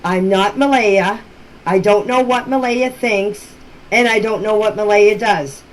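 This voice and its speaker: A woman giving informal explanations in voice messages, speaking in a plain, deliberate, matter-of-fact way. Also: mid-range voice. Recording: noisy amateur voice-message recording.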